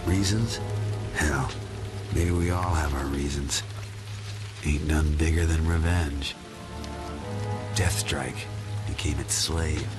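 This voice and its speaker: Low-voiced game antihero, gravelly, terse, sardonic, weary, loud music underneath. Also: male voice, steady tone, takes it slowly, long pauses. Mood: brooding. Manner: terse, sardonic, folksy tough-guy drawl. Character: gruff, world-weary, dying male mutant brawler out for revenge. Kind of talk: video game cutscene acting.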